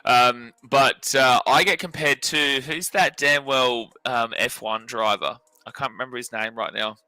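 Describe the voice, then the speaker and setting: A man's voice: medium pitch, measured pace, sharp tone, clean tone, no room sound. A man in his late twenties co-hosting a sports watch party, joking and teasing his partner as they chat. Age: 20s. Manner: joking, teasing, laughs often. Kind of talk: casual banter stream.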